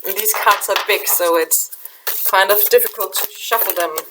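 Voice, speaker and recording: A middle-aged woman from Denmark with a Danish accent, speaking English softly at her webcam, halting and repeating words as she gropes for them. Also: medium pitch, noisy background. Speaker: spiritual channeler. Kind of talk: casual spiritual vlog talk. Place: small room indoors. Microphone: webcam in front of her.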